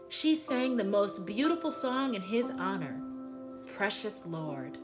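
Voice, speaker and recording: A woman reading a children's picture book aloud in an expressive storytelling style, phrasing deliberately and leaning on key words. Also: a little high. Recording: music behind the voice.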